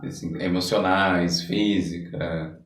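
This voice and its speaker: A Brazilian man hosting a casual podcast interview, speaking haltingly as he searches for words and repeats phrases. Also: deep voice, fairly even intonation. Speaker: podcast host. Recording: roomy echo, distant from the mic.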